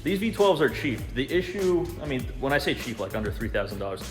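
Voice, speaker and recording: A man's voice: mid-range voice, sing-song, fast. A man hosting a car build vlog, talking casually and off the cuff with slang and easy swearing. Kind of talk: casual, unscripted vlog talk to camera. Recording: music playing behind the voice. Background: background music.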